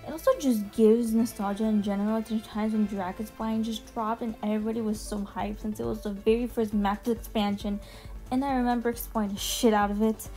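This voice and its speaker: A woman giving casual, chatty commentary as a video host, informal and quick to swear. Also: on the high side, level tone, warm tone, rough recording. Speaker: video host ranking game character demos. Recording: music behind the voice. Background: background music.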